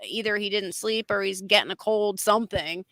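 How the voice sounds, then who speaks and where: A woman's voice: mid-range voice, normal speed, a bit bright, no room sound. A woman in casual panel chat, talking bluntly and emphatically, slightly nasal from a cold coming on. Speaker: panelist on a livestream open panel.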